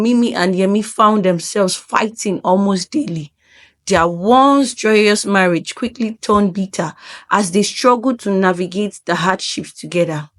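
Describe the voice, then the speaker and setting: Casting: woman narrator for a dramatic folktale, measured and unhurried, medium pitch, solemn delivery. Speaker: storyteller, narrator.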